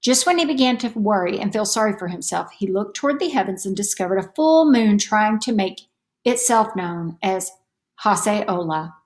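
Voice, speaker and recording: A woman reading a children's story aloud in an expressive, deliberate storytelling manner. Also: mid-range voice. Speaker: storybook reader.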